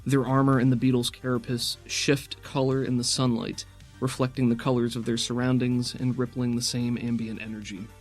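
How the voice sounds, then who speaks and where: A man runs a tabletop game as game master, narrating scenes in a measured, cinematic way. His voice sits at a middle pitch, with faint music underneath. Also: little pitch movement.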